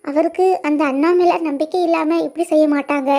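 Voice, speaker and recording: A woman voicing a quiet, dutiful young daughter who speaks in a soft, resolute way. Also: high-pitched, steady tone, fairly quick.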